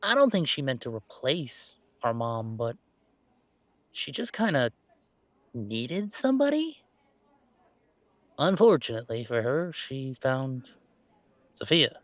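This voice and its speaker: A man playing a laid-back young werewolf gamer in an intimate audio roleplay, chatting in a wry, halting, casual way. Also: mid-range voice, big pitch swings, fairly bright tone, no echo. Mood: wistful, subdued. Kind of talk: intimate roleplay audio, naturalistic one-sided conversation.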